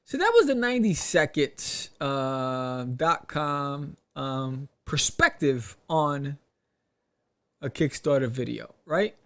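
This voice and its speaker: A man hosting a podcast, giving casual advice and reading aloud with his own commentary, animated and punctuating points with rhetorical questions. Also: medium pitch, slow pace. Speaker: podcast host.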